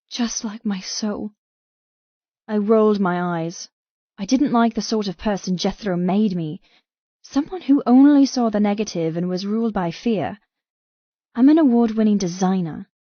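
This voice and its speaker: A woman's voice: mid-range voice, no echo, dull audio, close to an everyday voice. A woman performing an anguished but defiant young woman in emotive, dramatic first-person audiobook narration.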